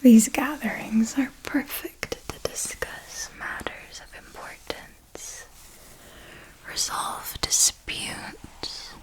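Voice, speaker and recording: A woman playing an elegant, seductive vampire mentor in an ASMR roleplay, speaking in a soft breathy whisper with formal, deliberate, intimate phrasing. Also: high voice, low-quality recording. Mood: hushed, alluring. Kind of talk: ASMR roleplay, scripted monologue.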